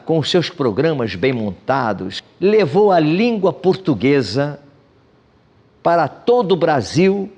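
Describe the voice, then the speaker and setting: A man speaking in a measured, deliberate way in a documentary interview. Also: a little high, darkish tone. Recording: reverberant room.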